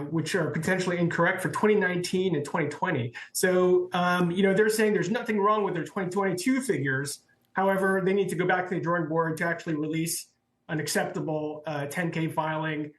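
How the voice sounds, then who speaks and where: A man working as a banking reporter, explaining developments off the cuff in a halting way on live news. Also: high-pitched, fairly bright tone. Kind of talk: live unscripted news analysis. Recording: roomy, echoey sound.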